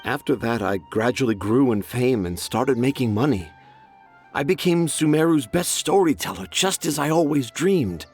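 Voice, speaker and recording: A man's voice: mid-range voice, animated, moderate pace, a little mellow, husky, soft background music. A man voicing a remorseful, self-deprecating storyteller father in a game. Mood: regretful. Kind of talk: game voice acting.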